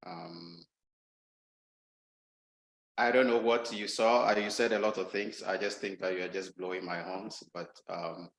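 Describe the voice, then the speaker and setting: Soft-spoken Ghanaian-born man, hesitant. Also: fairly low pitch, gravelly, echoey room, low-quality recording. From Ghana, Volta region. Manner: hesitant, many ums and restarts. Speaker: invited guest speaker. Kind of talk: casual online talk.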